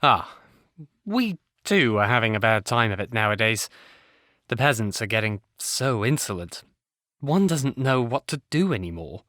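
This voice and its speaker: A man's voice: medium pitch, big pitch swings, normal speed, bright, forceful tone, no echo, studio quality. An actor playing a naive young provincial nobleman in an audio play, prissy, anxious and haughty. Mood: indignant.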